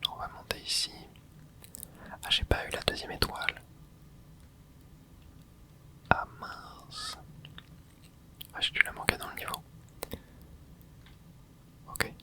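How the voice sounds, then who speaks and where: A man in his twenties, whispering softly as he comments on his gameplay for viewers. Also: slow, pauses often. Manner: soft whispering, with excited asides to viewers. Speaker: gaming ASMR creator.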